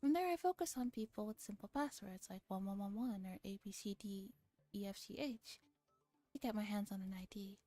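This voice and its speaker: A woman streamer reading a visual novel aloud in a soft, relaxed ASMR style, sometimes slipping into a whisper, with casual chat. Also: medium pitch, fairly quick. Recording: music playing behind the voice. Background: music.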